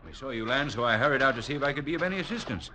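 A man's voice: medium pitch, animated, rapid-fire, pushed and bright. A man playing a courtly, evasive doctor who hosts strangers, with formal, hesitant politeness in 1940s radio acting style. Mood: effusively welcoming. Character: courtly, evasive doctor, mysterious host on remote island. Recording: faintly noisy vintage radio recording.

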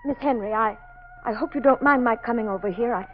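A woman's voice: fairly high pitch, quick pace, soft background music, natural voice. A woman playing an anxious, insistent young daughter in 1940s radio drama, emotional and pleading. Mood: polite, shaken. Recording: muffled 1940s radio recording.

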